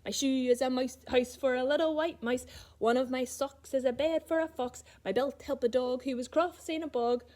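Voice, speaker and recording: Woman as a cheerful, kindly giant, high voice. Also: steady tone, normal speed, mellow, natural voice. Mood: jaunty chanting. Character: kind, cheerful young male giant, generous.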